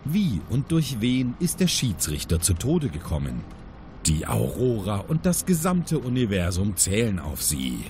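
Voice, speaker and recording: A solemn briefer for a secret agency in an audio drama. His low voice moves slowly and formally, with dramatic pauses and lively swings in pitch over noticeable background noise. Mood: grave, urgent.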